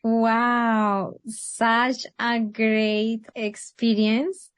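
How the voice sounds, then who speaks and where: A woman's voice: on the high side, flat delivery, extremely slow, clean tone. Enthusiastic woman podcast host. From Colombia. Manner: enthusiastic, effusive. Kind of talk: interview hosting.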